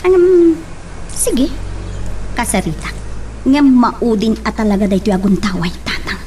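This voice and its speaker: A woman's voice: fairly high pitch, sing-song, a little slow, dark tone, slight background noise. A woman playing a hurt, stubborn young woman wronged in love in an Ilocano drama. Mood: stubborn, upset. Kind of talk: Ilocano radio-style drama acting.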